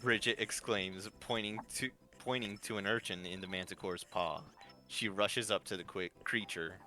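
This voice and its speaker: A man's voice: lowish voice, fairly even intonation, moderate pace, bright, forceful tone, soft background music. A man narrating a fan-written story live, reading from a script with small stumbles and repeats.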